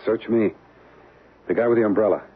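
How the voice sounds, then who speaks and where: An actor playing a breezy, reluctant young American husband on honeymoon in an old-time radio drama, with slangy, halting, casual talk. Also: male voice, on the low side, breathy edge, dull audio. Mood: wry. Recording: band-limited old radio broadcast.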